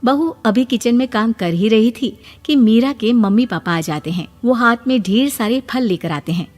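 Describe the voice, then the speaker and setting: A woman's voice: on the high side, moderate pace, a little mellow. Female storyteller in long reverb. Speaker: narrator. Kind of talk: scripted children's story narration. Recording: long reverb, music bed.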